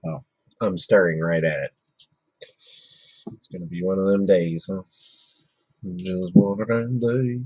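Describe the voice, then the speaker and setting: Hoarse man chatting on a live stream. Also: deep voice, a little slow, long pauses, no room sound, highs cut off. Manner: chatty, mutters to himself while working. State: losing his voice, hoarse. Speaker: livestream host. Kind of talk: casual live-stream chat while working.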